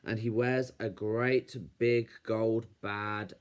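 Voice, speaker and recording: A man's voice: fairly low pitch, flat delivery, takes it slowly, clear, resonant tone. A man voicing a young, timid wolf cub in a storybook, with a childlike, wobbly manner that can turn stammering when nervous. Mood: chatty, grumbling. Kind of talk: storybook reading as letters.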